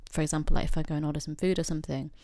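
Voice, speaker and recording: A young woman talks in a relaxed, wry way, often pausing on fillers. Her voice sits low, with a gravelly edge and a dark, mellow tone. The recording is dry and close. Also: dry recording. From England. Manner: reflective, wry, lots of fillers and quoted voices. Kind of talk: casual conversation.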